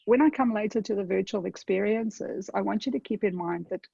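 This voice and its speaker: A woman's voice: mid-range voice, a little fast, vocal fry, dark tone, husky, no room sound, dull audio. A woman from South Africa with a South African accent, giving a conversational webinar talk and checking in with her audience often. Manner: conversational, addresses audience directly with frequent "okay?". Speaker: webinar presenter. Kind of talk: webinar presentation.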